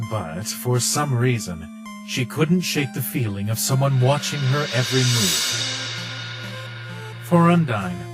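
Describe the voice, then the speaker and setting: A man reading scripted story narration as the narrator of a comic dub. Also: mid-range voice. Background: guitar music.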